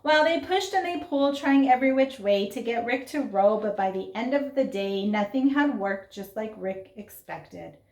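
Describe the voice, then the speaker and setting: A woman reading a children's story aloud in an expressive, dramatic way, with asides and questions to young listeners. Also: on the high side, moderate pace, hardly any pauses. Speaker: storybook reader. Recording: roomy, echoing sound.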